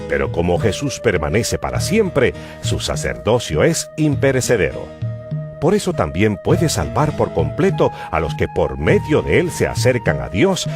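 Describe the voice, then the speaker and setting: Deep-voiced, very animated male narrator. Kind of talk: dramatized scripture narration. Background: music.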